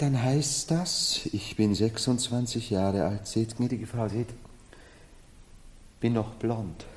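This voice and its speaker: An actor playing an unsettled, imperious man who believes himself emperor, in solemn, theatrical radio drama style, halting and then rushing. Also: fairly low pitch, a little mellow. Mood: grieving, confiding. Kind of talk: 1970s German radio drama acting. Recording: long hall reverb.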